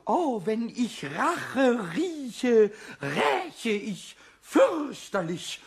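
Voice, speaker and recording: An actor playing a small, impish, hot-tempered kobold in a radio play, childlike and stammering, with a squeaky, raspy voice. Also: extremely slow, exaggerated voice. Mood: vengeful, excited. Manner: excitable, stammering, repeats words, childishly defiant. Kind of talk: German radio play acting.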